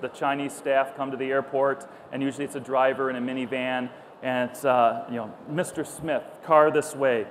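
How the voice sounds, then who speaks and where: A middle-aged man giving a conversational public talk full of anecdotes, speaking into a lapel mic on a stage. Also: medium pitch. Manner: conversational, animated storytelling, acts out dialogue. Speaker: company founder, conference speaker. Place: stage at a busy trade show hall. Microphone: clipped lapel mic. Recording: clear background noise of event hall.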